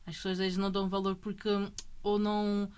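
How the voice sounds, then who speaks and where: A woman's voice: mid-range voice, completely flat delivery, brisk, a little mellow, smooth. Chatty woman with mixed Brazilian-European Portuguese accent. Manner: chatty, restarts sentences, tags with "entende?". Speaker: MMA fighter, guest. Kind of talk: casual interview conversation.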